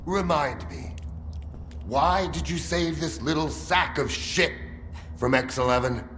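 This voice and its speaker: An actor playing an abrasive, furious military colonel in a video game cutscene, profane and full of contempt. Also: male voice, on the high side, slow pace, fairly bright tone, slight background noise. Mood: scornful.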